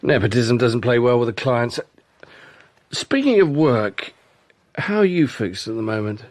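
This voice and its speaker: A man's voice: mid-range voice, big pitch swings, unhurried. An actor playing an affable, boozy, middle-aged businessman with a sardonic, chuckling manner in a naturalistic radio drama. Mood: wry, jovial. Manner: chuckling, hesitant asides, sardonic.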